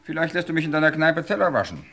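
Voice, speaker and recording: A man's voice: on the high side, big pitch swings, fast talker, fairly bright tone, soft background music. An actor playing a cool, defiant man in a German crime radio play, dryly ironic and unflustered. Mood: mocking, defiant. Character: cool defiant man posing as his dead double.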